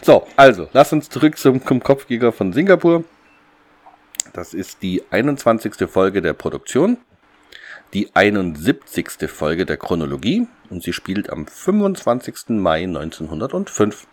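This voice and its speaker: A man's voice: lowish voice, very animated, a little slow, a little mellow. A man hosting a podcast in a casual, unscripted chat, often hesitating as he presents. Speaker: podcast host.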